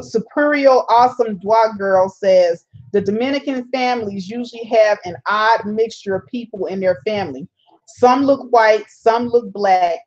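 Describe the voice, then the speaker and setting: Lively woman chatting with her viewers. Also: fairly high pitch, muffled recording. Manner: laughs often, reads viewer comments aloud and answers them. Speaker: channel host reading live chat. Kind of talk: casual live-stream chat.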